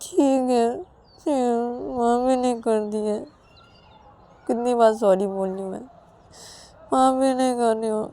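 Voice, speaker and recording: Woman doing a whiny tearful young woman in an audio story, high soft voice, keeps begging and apologising. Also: fairly even intonation, unhurried, pauses often. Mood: pleading, resigned.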